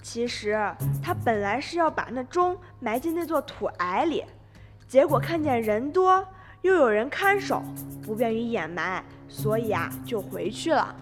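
An actress in a radio drama speaking as a narrator, explaining past events plainly. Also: female voice, a little high, animated, unhurried, faint music behind.

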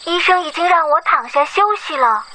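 A woman's voice: sits high, a little fast, sharp tone, slight background noise. A woman playing a stubborn, clever young African boy, a childlike grandson in a radio drama. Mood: obedient, curious.

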